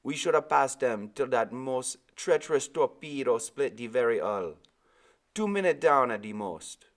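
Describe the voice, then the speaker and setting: Man putting on a gentle old West Indian sailor, lifted pitch. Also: animated, measured pace, lightly acted. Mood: grave, explaining. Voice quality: rich, soft and musical. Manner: gentle, reassuring, folksy dialect.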